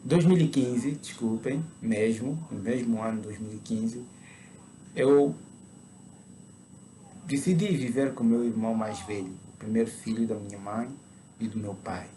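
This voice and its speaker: A man in his twenties with an Angolan Portuguese accent, giving a conversion testimony as a sermon and speaking slowly and deliberately, at times with emphatic preaching. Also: lowish voice, mellow, slight background noise, rough recording. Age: 20s.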